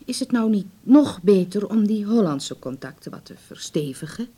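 A husky, anxious middle-aged mother. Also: mid-range voice, lively intonation, normal speed, dark tone. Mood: worried, reproachful. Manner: hesitant, sighing, pleading. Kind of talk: naturalistic Dutch radio play acting.

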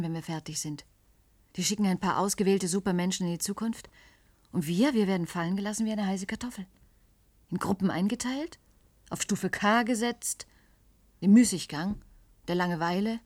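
Actress as principled female scientist, mid-pitched, reproachful, disenchanted, dry radio drama dialogue. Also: moderate pace, pauses often, darkish tone, dry recording, lightly acted. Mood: bitter, anxious. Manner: skeptical, reproachful.

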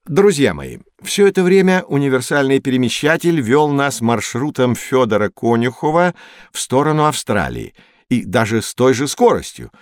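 An actor playing a kindly inventor who guides children, speaking in an avuncular, measured way in an educational audio drama. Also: male voice, medium pitch, big pitch swings, no room sound, studio quality, natural voice. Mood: kindly, guiding. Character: kindly adult male inventor guiding children on journeys.

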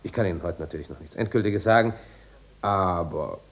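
An actor playing a smugly genial, probing asylum doctor in a 1950s German radio drama, speaking in a patronising, unhurried manner. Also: male voice, low voice, creaky, breathy edge, heavily acted. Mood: patronising, measured. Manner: patronising, unhurried, murmuring little questions. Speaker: medical officer and psychiatrist.

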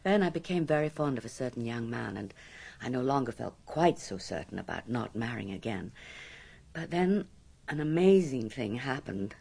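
An actress in a radio drama playing a frightened, haunted married woman who confides her past with dramatic, deliberate pauses. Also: a bass voice, very animated, gravelly, mellow, husky, full character voice. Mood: grave, confiding.

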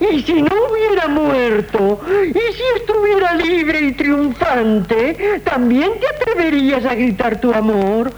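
An actress with a Castilian accent playing a scheming, domineering aunt in a 1970s radio drama, scolding imperiously and theatrically. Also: female voice, high-pitched, sing-song, slow, exaggerated voice. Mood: accusing. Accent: Castilian Spanish. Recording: muffled, noisy 1970s radio recording.

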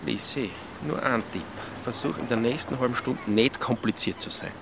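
An actor playing a down-to-earth, laconic Alpine nature lad in a radio play, speaking colloquial Austrian dialect. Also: male voice, mid-range voice, normal speed, creaky, background noise, lightly acted. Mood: laconic, teasing.

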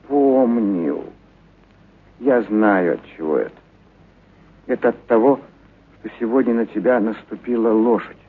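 Slow-spoken, theatrical animal trainer. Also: male voice, on the low side, husky. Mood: grieving, distressed. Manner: theatrical, talking fondly to animals. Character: kindly eccentric male animal trainer, doting on his pets. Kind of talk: radio play acting of a short story. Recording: narrow muffled radio sound, noisy background.

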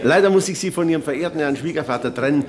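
A man plays a courtly, genial gentleman of high society in a radio drama. His voice is rather high and his manner formal, with a crowd of guests clearly heard around him. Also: racing, lightly acted. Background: crowd of reception guests.

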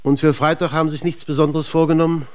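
An actor playing a shrewd, businesslike English police inspector in a German radio crime drama, probing and dryly ironic in manner. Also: male voice, on the high side, level tone, fast, lightly acted. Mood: businesslike, instructing.

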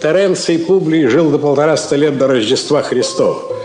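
An actor playing a haughty European businessman in a radio play, suave and measured, with sardonic irony. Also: on the high side, natural voice. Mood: mocking. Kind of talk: Soviet radio play acting. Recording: roomy old radio drama, music behind.

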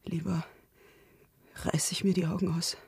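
A woman's voice: lowish voice, little pitch movement, a little slow, vocal fry, darkish tone, slightly rough, heavily acted. An actress in a German radio drama playing a bitter, grieving but defiant woman who survived a prison camp. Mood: bitter defiance.

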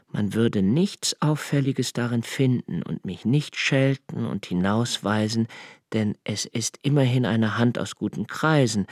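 An actor playing a sensitive, impoverished young poet, speaking an introspective, deliberate monologue in a radio play. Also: male voice, mid-range voice, dry recording, clean studio sound. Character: sensitive, brooding, poor young foreign poet and diarist. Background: music.

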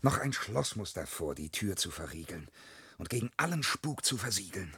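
An actor playing a brash, dreamy young vagabond peasant in a radio play, with a husky voice. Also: male voice, deep voice, big pitch swings, moderate pace, a bit bright, no room sound, full character voice. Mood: quiet, dreamy. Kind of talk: German radio play acting.

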